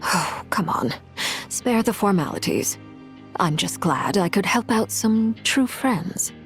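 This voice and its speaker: A woman's voice: mid-range voice, animated, slow, soft background music. An actress playing a poised, courteous noblewoman with an elegant, polished manner in a video game. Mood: cordial.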